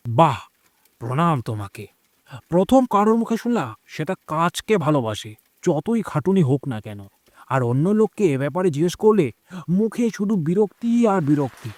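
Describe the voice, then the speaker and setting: Audio drama role: chatty older gentleman played by a man, slightly high, lively voice, concerned and familiar. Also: normal speed, dry recording. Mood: admiring. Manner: expressive, exclaiming, familiar.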